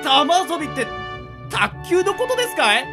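A man playing a hot-blooded, weepy and devoted gangster underling in an amateur radio drama, excitable and stammering. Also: extremely high pitch, normal speed, music in the background, exaggerated voice. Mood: bewildered.

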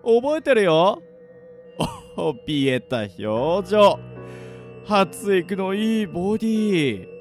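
Creepy, gleeful sadistic killer. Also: male voice, high-pitched, sing-song, drawn-out words, faint music behind, heavily acted. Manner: taunting, sing-song drawl with giggles. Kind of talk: anime-like audio drama acting.

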